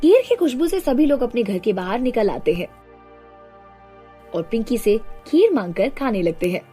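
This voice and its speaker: A woman narrates a cartoon tale from a script. Her voice is high and lively in pitch, with a mellow tone and music underneath. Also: moderate pace.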